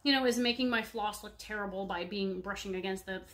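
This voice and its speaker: A woman's voice: medium pitch, fast talker, fairly bright tone. A woman in her thirties with a congested, stuffy nose, chatting casually to viewers from a home room, rambling with many fillers. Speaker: cross-stitch vlogger. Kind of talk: casual show-and-tell chat. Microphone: webcam at desk distance.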